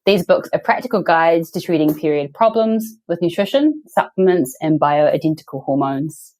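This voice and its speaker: Low-voiced, effusive woman podcast host. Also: expressive, moderate pace, a little mellow. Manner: enthusiastic, effusive. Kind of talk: podcast interview hosting.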